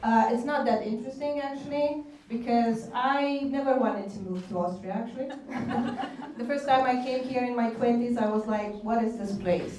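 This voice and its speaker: A woman in her thirties tells her story on a conference panel, often laughing at herself. Her voice is a little high and sounds distant, and it rings in a very echoey hall over faint noise. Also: brisk, mellow. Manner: laughing often, self-deprecating. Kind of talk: panel discussion.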